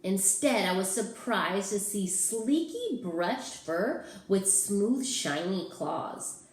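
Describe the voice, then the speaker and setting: Slow, expressive woman reading a storybook. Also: medium pitch. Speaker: teacher reading aloud. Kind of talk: expressive children's storybook narration. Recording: roomy, echoing sound.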